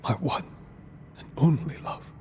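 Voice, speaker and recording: A man playing a grieving, devoted banisher of ghosts in a video game cutscene, solemn and measured, with old-fashioned phrasing. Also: a little mellow, a little hiss or hum, natural voice. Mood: grieving, pleading.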